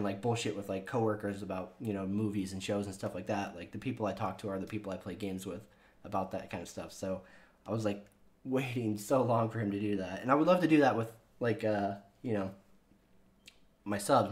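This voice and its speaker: A man talks straight to his viewers in a loose, meandering way, dropping curse words and ums as he goes. His voice sits a touch low yet still sounds younger than his years, like casual chat into a desk mic. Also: fairly even intonation, a little fast. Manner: rambling and profane, with many fillers. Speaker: channel host.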